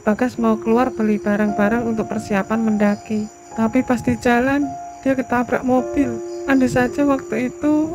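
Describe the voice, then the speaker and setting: A woman with an Indonesian accent voicing a grieving mother in an animated horror story. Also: on the high side, close to an everyday voice, poor audio. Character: grieving Indonesian mother who lost her son. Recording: music bed with night cricket sounds.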